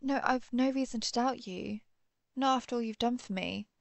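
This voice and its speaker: A woman playing an earnest, conflicted vampire hunter who is herself a vampire, speaking formally with hesitant pauses in a dramatic audio roleplay. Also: a little high, gravelly, no echo. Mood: grave, resolute. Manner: formal, old-fashioned wording, hesitant pauses. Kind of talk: dramatic audio roleplay, one-sided dialogue.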